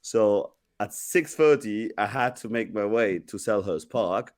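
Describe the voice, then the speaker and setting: A man who is sick and sounds deeper than usual, telling a rambling story on a casual podcast with many restarts. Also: measured pace, no room sound, studio quality. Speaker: podcast co-host.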